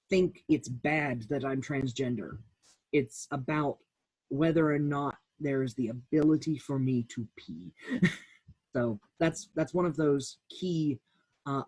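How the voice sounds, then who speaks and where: A man speaking as a round table panelist, calmly and earnestly sharing a personal account. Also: medium pitch, slightly rough, no room sound, rough recording.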